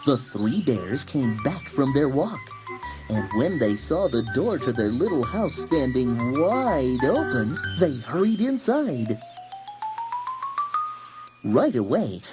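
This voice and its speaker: A woman narrating a children's story expressively, with gentle, exaggerated emphasis for young listeners. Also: very deep voice, big pitch swings, slow. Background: music.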